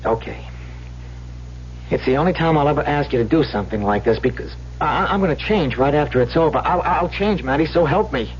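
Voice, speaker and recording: Man playing a halting small-time swindler, muffled radio. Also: on the high side, animated, close to an everyday voice. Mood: urgent, coaxing. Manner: halting, repetitive, colloquial street talk. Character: small-time swindler pleading with his lonely sweetheart. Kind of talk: old-time radio drama acting. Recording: dull, hissy vintage radio recording with hum.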